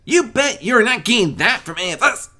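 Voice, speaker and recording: A man puts on a sweet little filly for a story reading. The voice is squeaky and pitched far up, prim and girlish. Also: very animated, brisk, bright, forceful tone, exaggerated voice. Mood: correcting.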